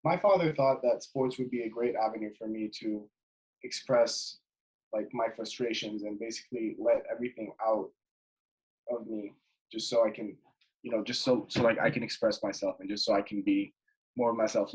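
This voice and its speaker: A man in his twenties from Jordan, a basketball player chatting casually as an interview guest over a webcam call from his bedroom, relaxed and rambling with lots of fillers and restarts. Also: on the low side, normal speed, poor audio. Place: bedroom at home, indoors. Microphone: webcam video call.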